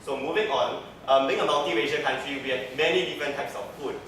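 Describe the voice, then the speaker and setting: A man's voice: medium pitch, fast talker, fairly bright tone, a little hiss or hum. A young man from Singapore with a Singaporean English accent, giving an earnest, structured class presentation and linking each point with "so.". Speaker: student presenter. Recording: echoey classroom, mic far off.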